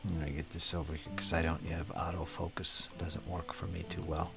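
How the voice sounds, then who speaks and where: A man's voice: rumbling low, level tone, fairly quick, creaky, music in the background. A man hosting a casual live stream while painting miniatures, chatting with viewers by name and muttering to himself as he works. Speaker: hobby streamer.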